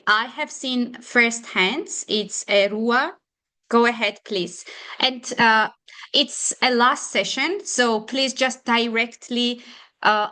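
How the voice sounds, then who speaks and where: A woman whose first language is not English, hosting an online mentorship session and encouraging applicants in halting grammar. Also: a little high, sharp tone, clear, resonant tone. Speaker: session moderator.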